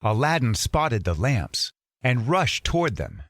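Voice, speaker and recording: A man narrating children's fairytales as a storybook reader, measured and expressive. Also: medium pitch, dry recording, studio quality.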